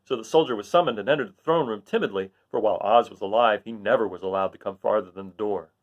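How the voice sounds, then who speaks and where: A man reading a children's storybook aloud as narrator, in an expressive storytelling style. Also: fairly low pitch, fast talker, dull audio. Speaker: storybook reader.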